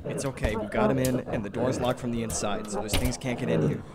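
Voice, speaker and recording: Take-charge teen guy, acted by a man, mid-pitched, urgent, bossy, slangy, radio drama, heavy crowd noise. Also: fairly even intonation, fast talker, close to an everyday voice. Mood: firm, reassuring. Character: take-charge teenage boy in zombie apocalypse, urgent, practical.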